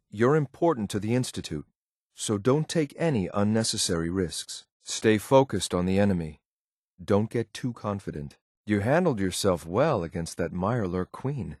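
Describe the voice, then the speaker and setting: A stern, dutiful android soldier from a video game. His voice sits on the low side, with formal, restrained military phrasing. The sound is dry, with no room around it. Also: darkish tone, no room sound. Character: stern, dutiful male synth soldier, coolly loyal. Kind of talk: scripted game companion dialogue.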